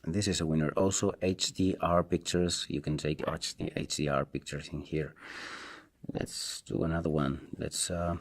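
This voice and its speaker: A man with a Spanish accent and Spanish as his first language, giving a casual, unscripted product review in a halting, hesitant way and repeating himself. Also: extremely low pitch, steady tone. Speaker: tech reviewer, video host.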